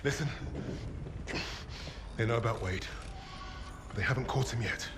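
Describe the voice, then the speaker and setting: An actor playing a steady, stoic captured Allied team leader in a war video game, speaking tersely and quietly. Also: male voice, mid-range voice, normal speed, lightly acted. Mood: stoic, urgent. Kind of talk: cinematic game drama acting. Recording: noisy ambience behind.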